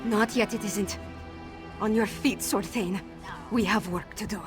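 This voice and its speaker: A woman's voice: medium pitch, fairly even intonation, measured pace, music in the background, natural voice. Woman acting an earnest young fantasy princess. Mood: commanding. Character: determined young princess, burdened but commanding. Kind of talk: fantasy video game voice acting.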